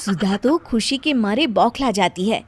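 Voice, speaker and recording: A woman's voice: mid-range voice, lively intonation, measured pace, breathy edge, no echo. A woman narrator reading a scripted story for a cartoon.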